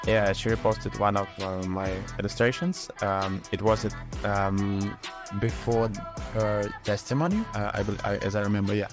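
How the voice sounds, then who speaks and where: A Ukrainian artist speaking English as a second language, answering interview questions in a hesitant, halting way with many fillers. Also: male voice, lowish voice, steady tone, over music. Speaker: artist guest.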